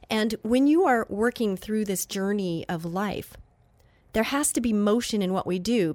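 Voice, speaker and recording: A woman's voice: mid-range voice, darkish tone, dry recording. Nasal-sounding woman hosting faith radio. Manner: chatty, earnest, with many fillers and restarts. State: nasal from allergies. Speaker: radio show host.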